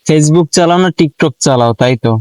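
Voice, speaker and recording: A man's voice: on the high side, moderate pace, a little mellow, clean tone, dry recording, poor audio. A man with a Bangladeshi accent chatting casually as a prank call host, teasing and pressing with questions. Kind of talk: casual teasing phone chat in colloquial Bengali.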